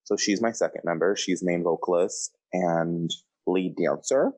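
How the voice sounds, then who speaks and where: Very low-pitched man chatting on a podcast. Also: measured pace, a little mellow. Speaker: podcast co-host. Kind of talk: casual podcast chat.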